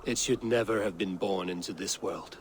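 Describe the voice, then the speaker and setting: A man's voice: sits low, normal speed, close to an everyday voice. Man playing a brooding, wrathful Norse god, noisy backdrop. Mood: suspicious, stern. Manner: solemn, commanding, suspicious. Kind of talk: dramatic video game acting.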